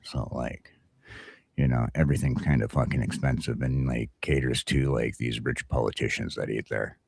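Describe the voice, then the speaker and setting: A man's voice: extremely low pitch, steady tone, moderate pace, creaky, a little mellow, breathy edge, no room sound, clean studio sound. A middle-aged American man telling stories in casual chat, with a blunt, folksy, sweary manner. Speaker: talk show host.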